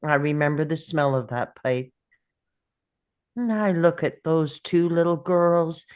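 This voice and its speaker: Slow, low-voiced, wistful grandparent. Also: female voice, darkish tone, clear, resonant tone. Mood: wistful, remembering. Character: elderly grandfather, reflective, wistful, tender. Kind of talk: dramatic script table read.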